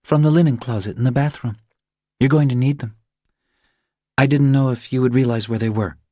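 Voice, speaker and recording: A man voicing an earnest, scheming young woman with a hushed, insistent manner in a thriller audiobook. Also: mid-range voice, brisk, no room sound, studio quality, pitched above the performer's own voice, natural voice. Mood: gentle.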